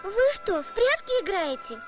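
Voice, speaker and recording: Little cartoon girl, very high, lively, fearless, faint music behind. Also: normal speed. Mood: curious, confident. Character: bright, fearless, curious little girl space explorer. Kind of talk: animated film voice acting.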